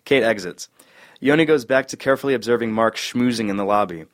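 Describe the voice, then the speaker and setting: Guy reading scene directions off a script, normal mid pitch, table read. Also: fast. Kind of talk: scripted narration of screenplay directions.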